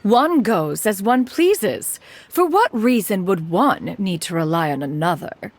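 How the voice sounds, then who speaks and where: A woman's voice: medium pitch, big pitch swings, a little slow, a little hiss or hum. An actress voicing a proud, aloof immortal woman in a video game, speaking in formal, archaic and lofty phrases. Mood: aloof, brisk. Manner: formal archaic diction, calls herself "one", lofty. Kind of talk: scripted game character dialogue.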